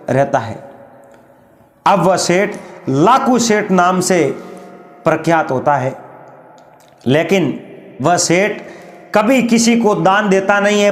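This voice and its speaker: A man narrating a folk story in an unhurried, drawn-out way, often calling his listeners friends. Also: high-pitched, roomy sound.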